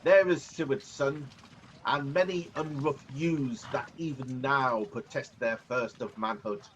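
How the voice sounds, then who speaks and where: An amateur actor playing a grave rebel nobleman in a Shakespearean radio play, measured and declamatory. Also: mid-range voice, fairly even intonation, a bit bright, close to an everyday voice. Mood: grave, resolute. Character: grave Scottish noble rebel soldier condemning a tyrant. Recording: muffled, noisy video-call audio.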